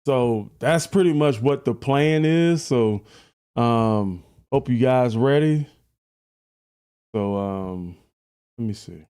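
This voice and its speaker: A man with a deep voice hosting a live reaction stream, chatty and laughing at his own jokes. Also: slow pace, long pauses, mellow, clear, resonant tone. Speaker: livestream reaction host. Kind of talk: casual live-stream chat.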